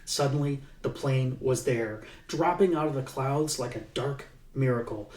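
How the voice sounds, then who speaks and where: A man, an author, reading his own novel aloud in an unhurried way, pausing with fillers as he chats and leaning into the tense passages. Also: medium pitch, a little mellow. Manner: unhurried, with halting fillers in the chat and livelier emphasis in the reading. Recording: echoey room, mic at a distance.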